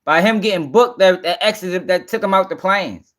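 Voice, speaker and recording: A man's voice: sits high, fairly quick, fairly bright tone. A man hosting a casual livestream, commenting bluntly in slang with swearing and repeating himself for emphasis. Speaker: host.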